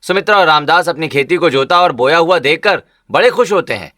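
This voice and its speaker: A man's voice: fairly high pitch, animated, fairly quick, pushed and bright, no room sound, studio quality. A man narrating a scripted cartoon village story as a storyteller.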